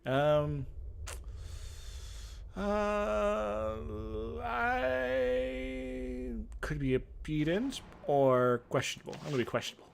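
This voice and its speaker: A man's voice: a little high, slow pace, creaky, pauses often, smooth. A man reading game text aloud and commenting as he plays, in a dramatic reading style.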